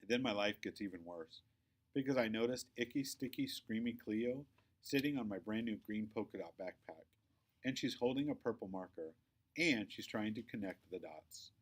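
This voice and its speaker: A man reading a children's story aloud with expressive, dramatic phrasing. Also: lowish voice, normal speed. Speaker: audiobook-style reader.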